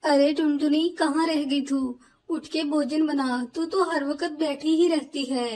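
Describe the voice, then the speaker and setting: A woman's voice: sits high, fairly even intonation, measured pace, a little mellow. A woman voicing an older female bird, a bossy, motherly mother-in-law in a cartoon with a folksy way of talking. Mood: demanding. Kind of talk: Hindi cartoon voice acting.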